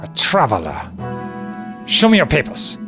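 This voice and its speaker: An actor playing a suspicious, contemptuous German army sergeant who barks curt commands in an audio drama. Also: male voice, medium pitch, wildly swooping pitch, a little slow. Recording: music under the voice.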